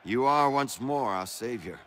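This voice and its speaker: A dignified statesman in a period game drama, formal and earnest. His mid-pitched voice is grave, over faint background noise. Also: animated, measured pace, fairly bright tone.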